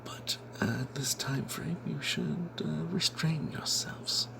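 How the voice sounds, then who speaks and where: A man telling a fantasy tabletop tale as whispered ASMR, in a breathy, halting way. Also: fairly high pitch.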